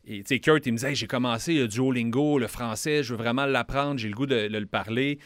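A man's voice: mid-range voice, fairly quick, no room sound, studio quality. A young man with a Quebec French accent, a member of parliament talking earnestly in a radio interview, often restarting his words.

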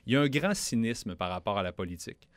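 Earnest Quebec man, low dry voice. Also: expressive, fast, no room sound. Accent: Quebec French. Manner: earnest, repeats words when starting sentences. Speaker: federal member of parliament, guest. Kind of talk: radio interview, casual political talk.

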